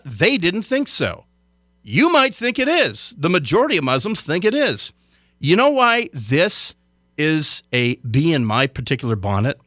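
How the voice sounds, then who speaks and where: A man's voice: fairly high pitch, a bit bright, no room sound, studio quality. A man from Hawaii delivering an indignant, lecturing radio commentary rant.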